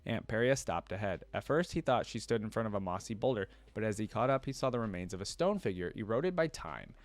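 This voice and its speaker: A man reading a story aloud as a reaction host, expressive in the telling, with casual asides. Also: lowish voice, quick pace.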